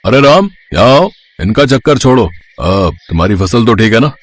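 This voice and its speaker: A man's voice: medium pitch, animated, moderate pace, no echo, natural voice. A man voicing a greedy, scornful politician who bullies villagers in a moral-story cartoon. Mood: scornful, bossy.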